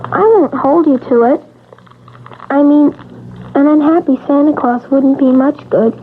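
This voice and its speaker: An actress playing a poor, earnest, stubborn little child in a plaintive, insistent way, in old-time radio drama style. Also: female voice, high voice, measured pace. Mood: disappointed, earnest. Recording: muffled, noisy 1970s radio recording.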